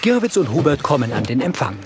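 A man reading scripted scene narration in a measured, neutral delivery. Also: medium pitch, sing-song, noisy background. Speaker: audio description narrator.

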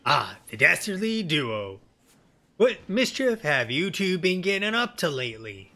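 A man's voice: fairly high pitch, sing-song, a little slow, bright, forceful tone, exaggerated voice. A man voicing a wry, casual bearded engineer in a fantasy game. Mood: teasing. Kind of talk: fantasy game dialogue reading. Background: game music.

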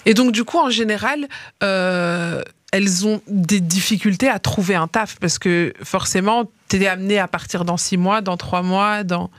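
Une jeune femme à l'belge, animatrice, qui pose ses questions d'un ton détendu et familier. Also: female voice, medium pitch, measured pace, dry recording, studio quality. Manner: relaxed, slangy questions. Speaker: host. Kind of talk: casual interview chat. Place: small radio studio. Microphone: studio mic with headphones.

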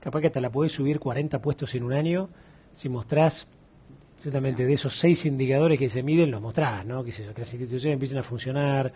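A man's voice: medium pitch, brisk, breathy edge. A middle-aged man with an Argentine accent interviewing a guest in a TV studio, talking animatedly and acting out quoted voices. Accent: Argentine Spanish. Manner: animated, gestures a lot, acts out quoted voices. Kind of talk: casual interview chat.

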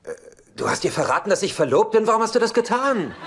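A man's voice: high voice, very animated, fast, noisy background. An actor playing a sarcastic, womanizing bachelor uncle in a dubbed sitcom, with a dry manner. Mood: preoccupied. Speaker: lead actor in German sitcom dub.

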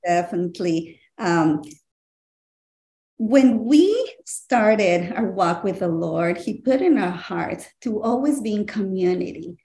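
A middle-aged woman from Ecuador, a guest on a video call from her home, talking earnestly and warmly and laughing easily. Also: medium pitch, expressive, takes it slowly. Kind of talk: casual interview talk. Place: home living room. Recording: roomy video call audio.

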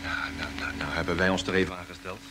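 An actor playing an excitable, flustered spaceship radio operator in a vintage radio drama. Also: male voice, deep voice, fast, close to an everyday voice. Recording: noisy old radio recording.

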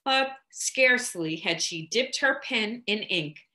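An older woman reading a literary story aloud in an expressive, measured, articulate manner. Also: fairly high pitch. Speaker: reader.

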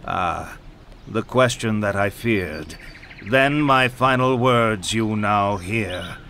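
A slow, portentous seer. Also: male voice, on the low side, animated, pushed and bright, a little hiss or hum, heavily acted. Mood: solemn, foreboding. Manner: portentous, deliberate. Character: solemn, cryptic prophetic seer. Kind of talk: dramatic fantasy game dialogue.

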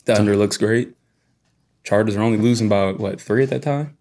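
A young man co-hosting a sports podcast, talking in casual, profane, animated banter. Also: fairly low pitch, a little fast. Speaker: podcast co-host. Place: small podcast studio. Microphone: boom mic with headphones.

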